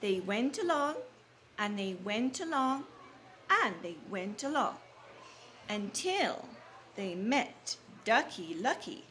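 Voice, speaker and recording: A woman kindergarten teacher reading a storybook aloud to young children, prompting them with questions and repeating deliberately. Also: medium pitch, big pitch swings, fairly bright tone. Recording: faint background room noise.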